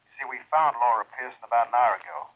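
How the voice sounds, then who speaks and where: An actor playing a polite state police lieutenant calling on the phone in an old radio drama, with a smooth, courteous manner. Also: male voice, mid-range voice, fast, slight background noise, lightly acted. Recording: muffled old radio recording.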